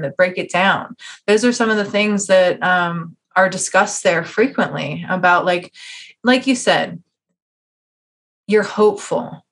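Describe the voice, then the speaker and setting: A woman's voice: fairly low pitch, fairly bright tone. A woman hosting a conversational podcast interview, talking at length in an earnest, encouraging way. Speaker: podcast host.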